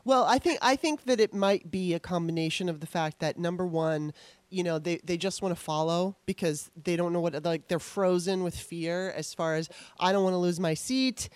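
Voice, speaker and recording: A woman hosting a casual political discussion, blunt and animated, at ease with the odd swear word. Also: on the low side, normal speed, clear, resonant tone, dry recording. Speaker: host.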